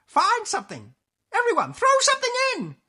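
A narrator voicing an excitable, good-natured female witch who cries out in a children's story. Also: sits high, a little slow, voice pushed up, heavily acted. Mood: gleeful commanding. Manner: exclaiming, crying out.

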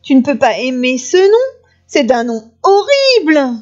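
A bratty little girl from a storybook, played by a woman, scornful and insolent. Her voice is high and she grumbles with mean relish, on a slightly muffled recording. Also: very animated, measured pace, a little mellow. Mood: baffled, indignant. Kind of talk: children's storybook character voice.

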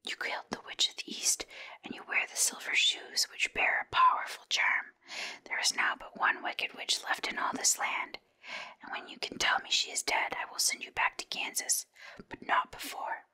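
A woman's voice: normal speed, pushed and bright. Stern whispering wizard head voiced by a woman. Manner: stern, commanding. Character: imperious giant disembodied wizard head, bargaining sternly.

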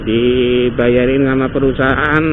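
A man's voice: medium pitch, little pitch movement, a little slow. A man from Indonesia chatting casually as a motovlogger while riding outdoors. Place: outdoors, riding a motorbike on city roads. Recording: dull helmet mic with loud traffic and engine noise. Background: loud engine, wind and passing traffic.

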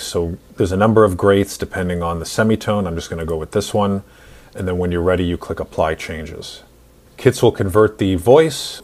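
A man in his forties narrating a screen-recorded software tutorial in an instructional, step-by-step way. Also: sits low. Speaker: tutorial host.

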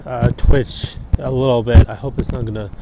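A man's voice: medium pitch, fairly even intonation, moderate pace, creaky. A man in his thirties, a travel vlogger chatting casually and thinking aloud as he walks outdoors, recorded on a wired earphone mic. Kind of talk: casual vlog commentary. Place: outdoors at a geothermal park.

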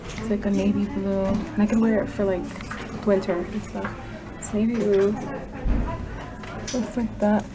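A woman's voice: medium pitch, little pitch movement, unhurried, long pauses. A young woman vlogging casually while shopping, thinking aloud and hedging as she goes, filmed on a handheld camera. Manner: chatty, thinking aloud, hedging with "I don't know". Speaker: travel vlogger. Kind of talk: casual vlog narration. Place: shops and mall, indoors. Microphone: handheld camera at arm's length.

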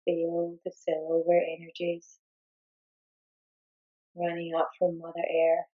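A woman leading a guided meditation, giving gentle, soothing instructions in short, unhurried phrases with long pauses. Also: low voice, lo-fi sound.

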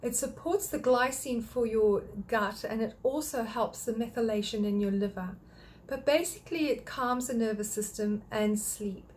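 An older woman from Australia gives a friendly health talk into a webcam in a small home office. Her voice sits a little high, and she works through her points one at a time in a patient, explanatory way. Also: a little mellow. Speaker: thyroid clinic practitioner. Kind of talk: direct-to-camera health talk.

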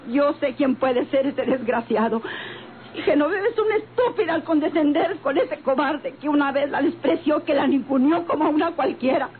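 A woman's voice: sits high, moderate pace, natural voice. An actress playing a stern, bitter motel-owning mother in an old radio soap, blunt and melodramatic, with a northern Mexican accent. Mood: furious, bitter. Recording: tinny, noisy vintage radio recording.

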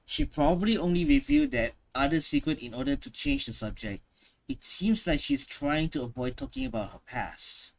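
Animated man streaming a game. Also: mid-range voice, moderate pace, poor audio. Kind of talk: casual game reaction commentary.

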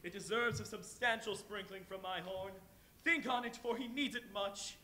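Male actor doing a stern spirit: high, bright and grave. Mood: solemn. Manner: grave, measured, declamatory. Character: stern male Christmas spirit, solemn and rebuking. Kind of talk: live stage reading.

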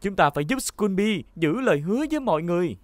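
A woman voicing a calm, assured police car who leads a rescue team in a children's cartoon. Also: fairly low pitch, fast talker, dry recording, close to an everyday voice. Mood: determined. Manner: assured, helpful. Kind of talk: dubbed children's cartoon acting.

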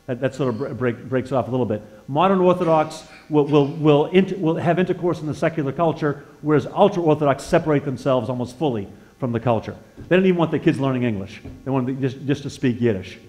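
A man in his sixties with an American accent preaches informally from a church lectern. His voice sits at a middle pitch. He talks conversationally, often doubling back on words as he searches for the next one, and turns to his listeners with questions as he shares personal testimony. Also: darkish tone. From northeastern United States. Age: 60s. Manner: halting, repeats words as he searches for them. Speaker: guest preacher. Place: church sanctuary, indoors, at a lectern.

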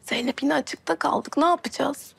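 An actress playing a gossipy young sister with a wry, colloquial manner in a TV drama. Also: female voice, sits high, moderate pace, vocal fry, fairly bright tone, heavily acted. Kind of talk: TV drama acting. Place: bedroom. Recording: faint music behind the voice.

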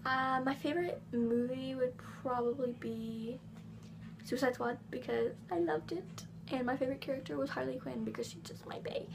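A woman's voice: high voice, gravelly, noisy background. A fourteen-year-old girl from England with a slight Southern US accent, chatting bubbly to camera at home with lots of ums. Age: teens. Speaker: vlogger. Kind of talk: casual chat to camera. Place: living room at home, indoors. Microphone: device camera on table.

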